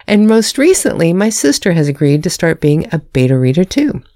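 Low gravelly woman, candid and wry. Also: big pitch swings, moderate pace, mellow, no echo, studio quality. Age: adult, married. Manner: candid, self-deprecating, laughs at herself. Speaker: author hosting her own podcast. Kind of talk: reading a prepared personal talk.

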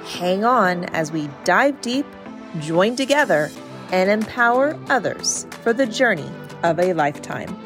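A woman reading a scripted podcast intro in a measured, deliberate way. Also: medium pitch, sing-song. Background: faint music.

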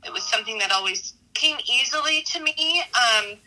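A woman's voice: on the high side, lively intonation, moderate pace, sharp tone, rough recording. A woman, giving casual, reflective interview answers in a conversational way. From Southern California, United States. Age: 40s. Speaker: interviewee, math teacher.

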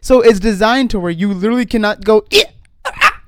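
An excitable, giggly podcast co-host chatting casually about games and often repeating phrases. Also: mid-range voice, fairly quick, very noisy. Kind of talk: casual gaming podcast chat.

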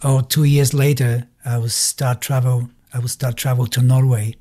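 A middle-aged man with a Bulgarian accent, speaking haltingly in non-native English as he tells his story in a casual interview. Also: medium pitch, little pitch movement, warm tone. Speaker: musician being interviewed.

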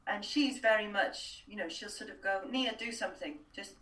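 A woman's voice: mid-range voice, normal speed, fairly bright tone. A woman working as a voice coach, a Welsh speaker, answering interview questions in a chatty way with many restarts and fillers. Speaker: voice and accent coach. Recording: distant, roomy sound.

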